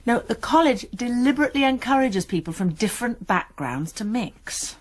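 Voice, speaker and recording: A woman with an English accent playing a concerned, sensible college nurse in a naturalistic radio drama, measured and articulate. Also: on the high side, animated. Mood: concerned, frank. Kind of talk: naturalistic British radio drama acting, with narration.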